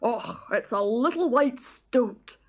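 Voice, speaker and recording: A woman's voice: fairly high pitch, lively intonation, takes it slowly, dull audio, pitched above the performer's own voice, heavily acted. A woman voicing an amused wild pony in a storybook. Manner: amused, explaining.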